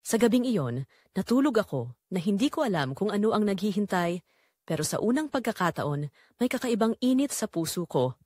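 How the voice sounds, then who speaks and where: A woman's voice: mid-range voice, sing-song, normal speed, darkish tone, no room sound, studio quality. A woman playing a struggling single mother, weary but hopeful, narrating a confessional first-person audio drama to her listeners in an emotive way. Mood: guarded, hopeful.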